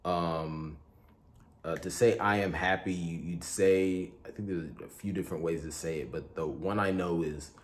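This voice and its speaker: A young man from Igboland with a low, deep voice talks viewers through a simple practice in a casual tutorial. His delivery is relaxed and meandering, full of fillers and small self-corrections. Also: moderate pace. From Igboland, Nigeria. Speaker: spiritual tutorial host.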